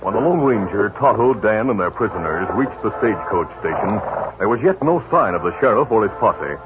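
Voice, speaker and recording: A man announcing and narrating a 1950s radio western, booming and emphatic in scripted delivery, on a dull old broadcast recording. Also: expressive, fairly quick, noisy background.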